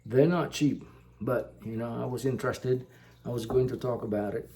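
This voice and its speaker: A man from Indonesia, a native Indonesian speaker, talking slowly to the camera in a casual vlog, pausing often with fillers. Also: mid-range voice, steady tone, dark tone. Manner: slow, halting, many fillers. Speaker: vlogger.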